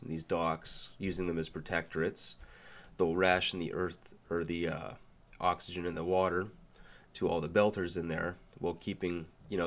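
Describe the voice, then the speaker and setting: Male host, deep and gravelly, nasal from a cold, relaxed and a bit rambling. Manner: relaxed, loose with fillers and restarts. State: nasal from a sinus flu. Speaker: video essay host. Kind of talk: casual explainer narration.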